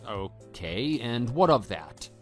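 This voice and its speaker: Actor as a measured, level-headed husband. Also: male voice, medium pitch, big pitch swings, soft background music. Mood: wary, impatient. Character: wary, level-headed husband, blunt and skeptical. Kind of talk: scripted texting-story radio drama.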